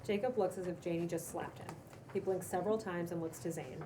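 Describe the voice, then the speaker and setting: A woman with a slightly low voice reads screenplay action lines aloud as narrator. She is some distance from the microphone, with clear noise in the recording. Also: brisk, warm tone. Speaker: stage directions reader. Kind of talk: cold read of screenplay directions.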